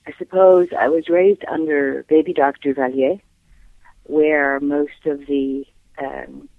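A Haitian-born woman, a guest on a radio interview, speaking earnestly and reflectively and pausing to find her words over the phone. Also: deep voice, fairly even intonation, gravelly, no room sound. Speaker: guest, playwright and human rights attorney. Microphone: phone line. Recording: tinny dry phone line.

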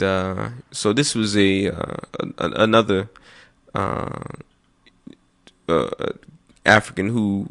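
A man hosting a call-in radio talk show, speaking with frequent pauses and restarts, slowing down to read out phone numbers. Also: on the low side, fairly bright tone. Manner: many fillers and restarts, slow over numbers. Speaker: radio host.

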